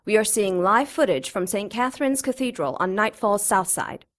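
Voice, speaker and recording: A woman's voice: mid-range voice, animated, moderate pace, lightly acted. A woman playing a television news reporter delivering a live report in a radio drama. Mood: urgent, formal.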